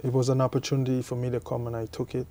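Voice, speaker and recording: A young man from Guyana being interviewed about his cricket, answering haltingly and often repeating his words. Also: mid-range voice, monotone, dark tone, a little hiss or hum. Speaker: cricketer guest.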